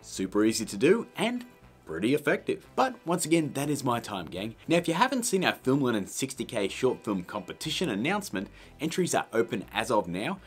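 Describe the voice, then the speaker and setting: A man's voice: medium pitch, very animated, fairly quick, husky, faint music behind. A man presenting a casual how-to tutorial in an upbeat, chummy manner. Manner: upbeat, chummy, addressing viewers as guys and gang. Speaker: tutorial host.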